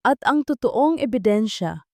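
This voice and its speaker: A woman narrator reads a confrontational young Filipino hero of a love drama. The delivery is deliberate and accusing, with a mellow tone in a dry, close recording. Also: a little high, lively intonation, dry recording. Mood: firm, accusing. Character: firm, confrontational young man, romantic hero.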